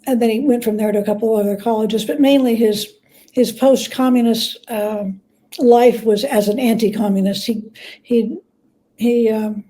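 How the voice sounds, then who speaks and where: Woman, fairly high pitch, warm relaxed timbre, interview guest, reflective storytelling, halting with repeated words. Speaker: guest author and biographer.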